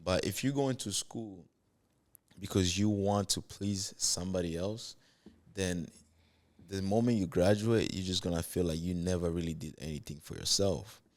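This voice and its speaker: A man's voice: deep voice, moderate pace, darkish tone. A man hosting a casual podcast, asking questions in a laid-back, chatty way, laughing often and giving advice. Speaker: podcast host.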